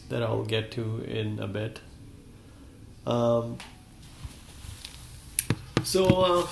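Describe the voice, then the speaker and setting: A man in his forties with a croaky voice from a cold, talking at a whiteboard in a small office. He speaks haltingly, with many fillers and restarts, and the sound comes from a desk webcam. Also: medium pitch, reverberant, slight background noise. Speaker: self-taught presenter. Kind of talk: rambling solo talk at a whiteboard.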